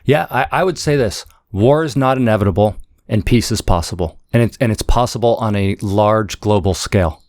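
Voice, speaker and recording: A man's voice: fairly low pitch, moderate pace, a little mellow, no room sound, clean studio sound. A man speaking analytically as an expert guest in an interview. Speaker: guest author, foreign policy scholar.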